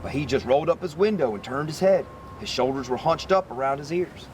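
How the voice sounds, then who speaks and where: A man reading a children's picture book aloud as an expressive narrator. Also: a little high, fairly quick, a little hiss or hum. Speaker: story reader.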